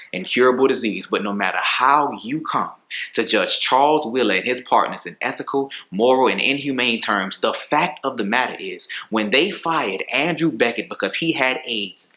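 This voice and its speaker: A male actor performs an impassioned trial lawyer in a dramatic monologue. His voice is sharp and forceful at a middling pitch, with deliberate, emphatic phrasing. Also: animated. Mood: firm, persuasive.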